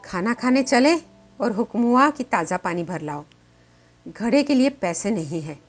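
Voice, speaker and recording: A woman's voice: medium pitch, animated, unhurried, faint music behind. A woman voicing overworked village housewives in a story, grumbling and complaining in a gossipy manner.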